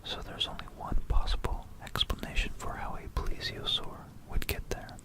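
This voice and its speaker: Casting: ASMR whisperer, no voicing at all, informal and musing, recorded close on binaural mic. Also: normal speed. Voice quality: soft unvoiced whisper. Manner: rambling, musing asides. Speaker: ASMR video creator. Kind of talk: whispered ASMR, informal talk mixed with reading aloud. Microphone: close stereo binaural microphone.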